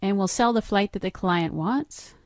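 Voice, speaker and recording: A woman's voice: medium pitch, moderate pace, dry recording, clean studio sound. A middle-aged woman narrating a screen-recorded training walkthrough, explaining each step in a matter-of-fact instructional way. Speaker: software trainer.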